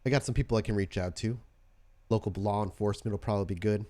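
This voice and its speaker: A man playing a blunt, wary female vampire negotiator in a tabletop game, speaking in a plain, halting, guarded way. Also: fairly low pitch, steady tone, dark tone, lightly acted. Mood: cautious. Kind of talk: tabletop roleplay in character.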